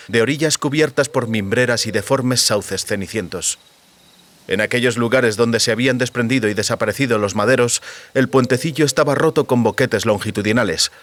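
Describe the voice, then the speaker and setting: A man's voice: medium pitch, a bit bright. A man narrating a literary audiobook in a dramatic, scripted storytelling style. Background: music.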